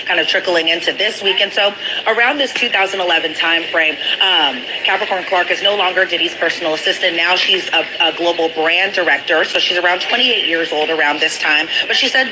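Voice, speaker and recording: A woman reporter with a fairly low, slightly hoarse voice explains court testimony from her notes. She talks conversationally and walks through it point by point. Heavy noise sits behind her voice. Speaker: trial reporter. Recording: loud background noise throughout.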